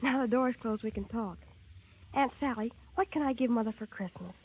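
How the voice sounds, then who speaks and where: A woman's voice: on the high side, moderate pace, slightly rough. A woman playing a sweet, earnest girl in a 1930s radio advertisement. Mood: confiding, eager. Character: earnest, sweet girl seeking gift advice. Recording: muffled 1930s radio broadcast.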